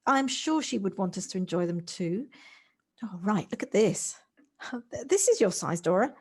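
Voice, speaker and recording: A woman's voice: medium pitch, big pitch swings, normal speed, slightly rough. An amateur actress playing an effusive, encouraging and sympathetic woman in an audio drama, often stammering into her phrases. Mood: excited. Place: recorded at home on a personal device.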